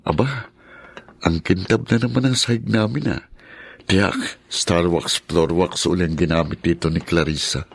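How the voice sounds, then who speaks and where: Deep-voiced, evasive Filipino husband. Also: male voice, normal speed, vocal fry, slightly rough, dry recording, exaggerated voice. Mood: admiring, musing. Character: evasive husband, smooth-talking and casual. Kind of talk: Filipino radio drama acting.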